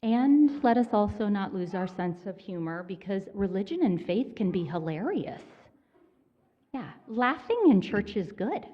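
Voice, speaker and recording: A woman minister giving a sermon in a church, conversational and gently self-mocking in her humor. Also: medium pitch, sing-song, unhurried, lo-fi sound. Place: church sanctuary.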